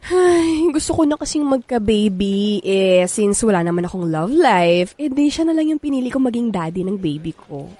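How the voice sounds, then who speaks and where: A woman's voice: medium pitch, expressive, moderate pace, dark tone, close to an everyday voice. An actress with a Filipino accent playing a pushy, flirty woman in her thirties in a radio comedy drama, coaxing and playful.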